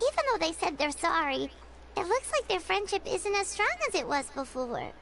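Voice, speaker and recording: A woman voicing a tiny, excitable fairy-like female companion in a video game, bubbly and squeaky, talking about herself by name. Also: measured pace, vocal fry, background noise, full character voice. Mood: excited. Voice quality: high, squeaky. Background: game music.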